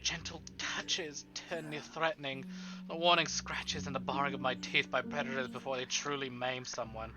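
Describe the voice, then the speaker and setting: A man streaming a visual novel, reading it aloud as theatrical, expressive first-person narration. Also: mid-range voice, moderate pace. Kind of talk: dramatic first-person story narration with asides. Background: game music.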